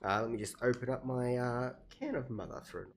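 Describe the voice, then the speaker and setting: A chatty Australian streamer in their late twenties, talking through a game at a mid-range pitch. The delivery rambles with fillers and turns self-deprecating now and then, with a faint hum of ambience behind. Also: fairly even intonation, a little fast. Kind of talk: casual livestream commentary while playing.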